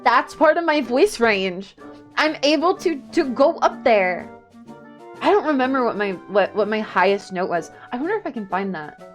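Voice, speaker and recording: A woman's voice: on the high side, big pitch swings, moderate pace, faint music behind. A woman livestreamer chatting casually while she plays a video game, rambling and self-deprecating. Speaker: streamer, VTuber.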